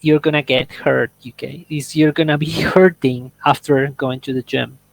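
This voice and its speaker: A man's voice: mid-range voice, steady tone, normal speed, vocal fry, no echo, poor audio. A young man whose first language is Spanish, leading a casual English conversation workshop and eagerly explaining his points with everyday examples. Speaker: workshop leader.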